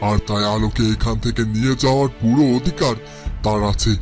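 A man's voice: medium pitch, fairly even intonation, fairly quick, darkish tone, faint music behind, close to an everyday voice. A man voicing a proud, impulsive young man in a fairytale cartoon, with declamatory, emphatic, melodramatic delivery. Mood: resolute, remorseful.

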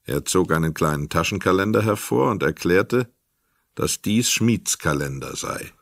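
A man narrating a radio drama from a script in a measured, literary storytelling manner. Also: low-pitched, lively intonation, vocal fry, a bit bright, dry recording.

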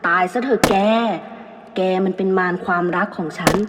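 A woman voicing a jealous, scheming village man in a dramatised folk story, with rough, blunt speech. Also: mid-range voice, moderate pace, very noisy, natural voice. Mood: jealous, menacing. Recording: muffled, long reverb.

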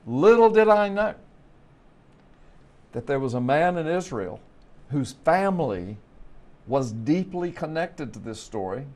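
A man's voice: fairly high pitch, measured pace, long pauses. A middle-aged man from the US Gulf Coast, a pastor and author, telling a story animatedly on a TV studio panel with a lapel mic. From United States Gulf Coast. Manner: animated storytelling, gesturing with his hands. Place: TV studio set.

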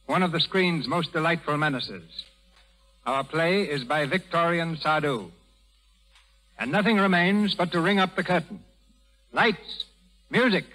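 A man's voice: on the high side, lively intonation, a little slow, pauses often, rough recording. A man announcing and narrating a 1930s radio drama in a theatrical, declamatory style. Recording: old 1930s radio broadcast, loud music.

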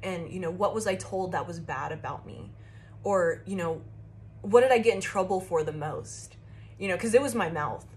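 A young woman doing a casual tarot reading to her phone camera in a small room, with a loud, carrying voice and a rambling, profane way of talking full of fillers. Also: on the low side, moderate pace, a little hiss or hum. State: shaky. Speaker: tarot reader.

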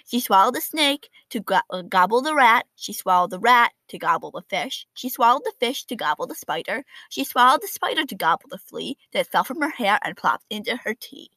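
A woman's voice: a little high, animated, a little fast, hardly any pauses, sharp tone, no room sound. A woman reading a children's picture book aloud expressively, with a sing-song rhyming delivery.

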